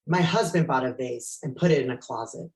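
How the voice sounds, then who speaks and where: A man reading the part of a gruff, jealous fifty-year-old husband in an animated comedy table read. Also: medium pitch, brisk, natural voice. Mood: distressed, suspicious. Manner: gruff, indignant. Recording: roomy, echoing remote microphone.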